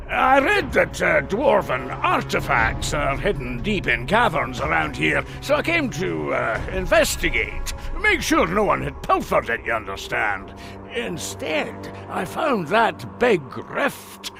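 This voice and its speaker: A man's voice: high voice, huge pitch swings, measured pace, gravelly, over music, exaggerated voice. An actor playing a shifty, blustering male dwarf treasure hunter in a comic fantasy game, evasive and full of excuses. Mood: sheepish, ominous. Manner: evasive, self-excusing, hesitant asides.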